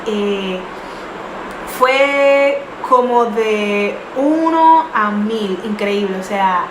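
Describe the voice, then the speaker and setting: A woman's voice: medium pitch, drawn-out words. A young woman in her twenties earnestly telling a personal story, with many fillers and restarts. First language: not English. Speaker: vlogger. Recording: echoey room with noise.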